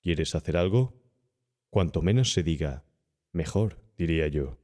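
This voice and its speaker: Man playing a deep-voiced, cynical young doctor. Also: a little slow. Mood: cool dismissal. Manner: smooth, insolent, calmly persuasive. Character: cynical, cool, worldly young male doctor. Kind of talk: audiobook character acting.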